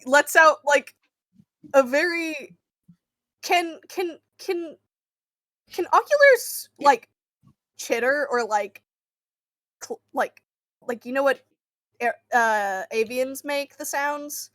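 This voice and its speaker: A woman narrating her character's actions in a tabletop game, improvising casually and haltingly as she searches for words. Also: high voice, lively intonation, fairly bright tone, dry recording. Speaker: player in a tabletop roleplay game.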